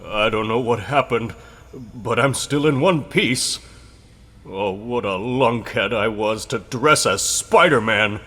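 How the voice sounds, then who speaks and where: A man's voice: mid-range voice, slow pace, fairly bright tone, long reverb tail. A man voicing a cowardly, hapless young impostor, jittery and rueful, in a comic book dramatization. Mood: shaken regret.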